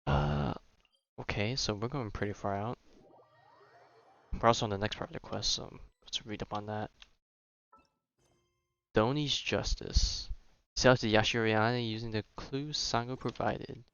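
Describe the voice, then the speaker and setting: A man's voice: fairly low pitch, steady tone, normal speed, fairly bright tone, clean tone, dull audio. A young man streaming a game with casual, slangy, reactive commentary.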